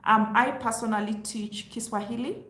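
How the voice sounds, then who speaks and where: A woman's voice: a little high, fairly even intonation, normal speed, a bit bright. A woman whose first language is Luo, giving casual money advice in a chatty, explaining way. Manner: chatty, explains with rhetorical asides. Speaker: vlogger. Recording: roomy echo with faint hiss.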